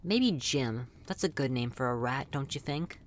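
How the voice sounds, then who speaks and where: A woman voicing a lonely, centuries-old female vampire in an intimate ASMR roleplay, soft-spoken and confiding, speaking fondly and wistfully to the listener. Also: extremely low pitch, expressive, moderate pace, slight background noise. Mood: fond, teasing.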